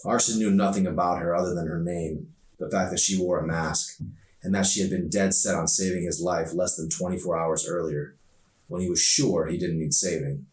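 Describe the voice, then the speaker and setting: A man with a very low voice reads fiction aloud as an author narrating his own book. The sound is echoey, with the microphone some way off. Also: steady tone, moderate pace. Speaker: author reading own novel. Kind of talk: scripted audiobook narration. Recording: roomy echo, distant microphone.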